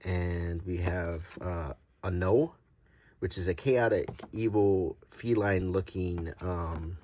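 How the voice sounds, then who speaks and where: A man presenting collectible cards in a casual, unscripted show-and-tell, halting and pausing often as he describes things. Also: low-pitched, warm tone, a little hiss or hum. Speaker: host presenting vintage collectible game cards.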